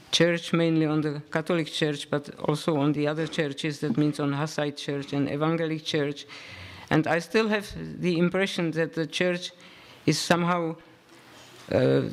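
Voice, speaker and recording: Halting man giving a talk. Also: a little high. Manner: halting, repeats words while searching for them. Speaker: professor, panel speaker. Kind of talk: conference talk.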